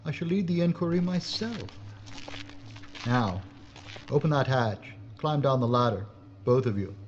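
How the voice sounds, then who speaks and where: A man's voice: mid-range voice, animated, unhurried, dull audio, a little hiss or hum, lightly acted. Man acting a gloating murderous traitor inspector, swooping delivery. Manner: theatrical, smug, menacing. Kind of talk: audio drama acting.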